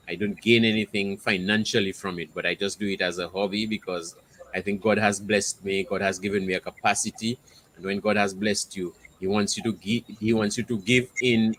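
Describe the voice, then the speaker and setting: Low-voiced man, earnest interview guest. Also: a bit bright, slight background noise, low-quality recording. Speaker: radio programme host appearing as a guest. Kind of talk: interview talk about own show.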